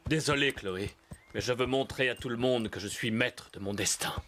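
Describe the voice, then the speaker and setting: A man's voice: mid-range voice, normal speed, pushed and bright, slight background noise, lightly acted. A man voicing a proud, headstrong horse in a children's cartoon, boastful and dramatic. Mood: proud, defiant. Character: proud, headstrong young male horse craving freedom. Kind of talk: French cartoon dub acting.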